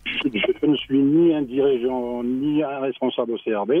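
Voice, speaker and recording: A man, a local mayor, speaking on a radio phone-in, halting and repeating words, with a choked-up voice. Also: medium pitch, steady tone, breathy edge, no echo. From Algeria. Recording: tinny phone line.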